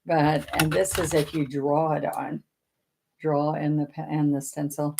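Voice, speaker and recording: A woman's voice: deep voice, little pitch movement, measured pace, background noise. An older woman, chatting folksily as she teaches a painting lesson and greets viewers by name. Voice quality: raspy. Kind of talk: casual livestream painting lesson.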